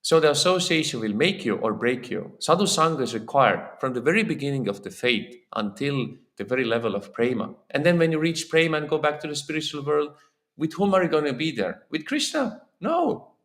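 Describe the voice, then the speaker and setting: Gravelly Estonian-accented man lecturing. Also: a little high, sing-song, fairly quick, a bit bright. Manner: earnest, repeats words while searching for phrasing. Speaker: lecturer on scripture. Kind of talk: informal religious lecture. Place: temple hall.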